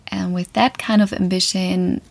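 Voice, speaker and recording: A woman's voice: lowish voice, level tone, a little slow, gravelly. A woman in her twenties with a German accent, a native German speaker, hosting a casual podcast from a small wardrobe, chatty with frequent ums. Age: 20s. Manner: chatty, laughs between phrases, frequent ums. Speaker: podcast host. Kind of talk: casual solo podcast talk.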